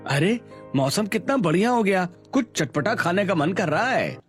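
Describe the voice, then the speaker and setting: A man's voice: high voice, animated, moderate pace, faint music behind. A man playing a practical, thrifty, resigned father of a poor family in a cartoon story. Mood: wistful, resigned. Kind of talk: Hindi cartoon story dialogue.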